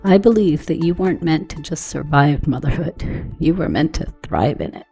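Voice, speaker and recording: A woman's voice: low voice, expressive, moderate pace, warm tone, husky, soft background music. Woman hosting a wellness podcast. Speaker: podcast host, wellness coach. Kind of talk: podcast intro and interview hosting.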